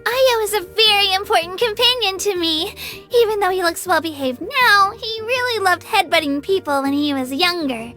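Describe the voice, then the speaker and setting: A woman's voice: very high voice, normal speed, bright, forceful tone, soft background music. A woman playing an earnest, timid but determined young girl adventurer in a video game, with a sweet childlike voice. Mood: fond, cheerful. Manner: earnest, self-doubting, eager to please. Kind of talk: English video game character voice lines.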